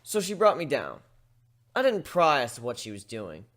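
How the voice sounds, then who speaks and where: Animated man reading a story. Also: medium pitch, normal speed. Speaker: amateur dramatic story reader. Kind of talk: first-person scripted narration.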